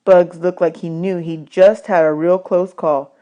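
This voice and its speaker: A woman's voice: on the low side, steady tone, measured pace, warm tone. A woman narrating a children's novel as a read-aloud audiobook. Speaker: audiobook reader.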